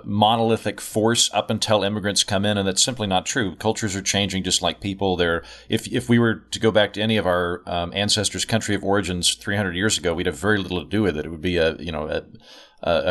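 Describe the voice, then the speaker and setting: A man's voice: deep voice, a bit bright. A man on a discussion panel, speaking casually and searching for his words.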